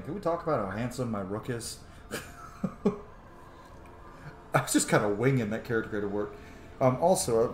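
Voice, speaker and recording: A man chats casually through a video game playthrough, musing aloud in an informal way. His mid-pitched voice echoes in the room, with game music playing behind him. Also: a little mellow. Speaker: gaming YouTuber.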